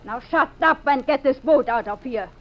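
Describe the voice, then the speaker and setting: An actress playing a cold, imperious smuggling boss in a 1950s radio drama, curt and commanding. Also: female voice, sits high, moderate pace, lightly acted. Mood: cold, menacing. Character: cold, imperious female smuggling boss posing as fortune teller. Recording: dull 1950s radio recording.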